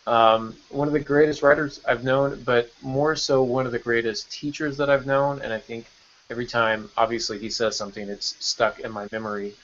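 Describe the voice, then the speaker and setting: A man's voice: medium pitch, fairly even intonation, moderate pace, a bit bright, a little hiss or hum. Male podcast host, mid-range voice, informal and bantering, slightly dull lo-fi call sound. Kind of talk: casual panel chat. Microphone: online video call.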